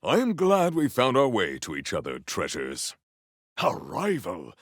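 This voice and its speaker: An actor voicing a fierce, honorable cyborg warrior in a video game, speaking in a theatrical, grandiose, gravelly way. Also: male voice, mid-range voice, huge pitch swings, measured pace, no room sound, studio quality, heavily acted. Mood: grave, measured. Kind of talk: video game combat barks.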